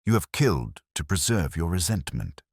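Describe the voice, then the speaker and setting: A man voicing a shrewd, commanding Victorian detective in a story, calm, grave and deliberate. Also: sits low, slightly rough, dry recording, studio quality, exaggerated voice. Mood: stern. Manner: terse, deliberate, authoritative.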